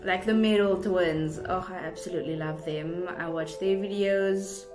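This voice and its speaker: A woman's voice: on the low side, steady tone, moderate pace. A young South African woman chatting casually to her viewers as a vlogger, rambling along in an effusive, gushing way. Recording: roomy indoor echo, music underneath.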